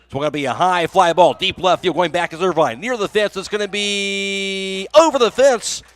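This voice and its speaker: A man's voice: sits high, normal speed, soft background music. An American man working as a radio announcer, speaking in a broadcast style into a close microphone. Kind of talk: live baseball play-by-play commentary.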